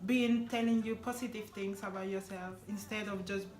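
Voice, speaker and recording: A woman's voice: medium pitch, fairly even intonation, brisk, a little mellow. A young woman whose first language is not English, chatting casually with a friend, peppering her talk with "like" and "actually". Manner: chatty, frequent "like" and "actually", laughs often. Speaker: vlog host. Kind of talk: casual chat. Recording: echoey room.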